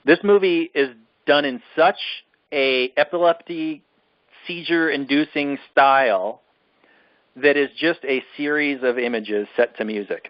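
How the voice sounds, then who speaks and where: A man's voice: a little high, dry recording, muffled recording. A man co-hosting a casual film discussion, ranting in an exasperated, emphatic way and repeating himself for emphasis. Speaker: podcast co-host reviewing films.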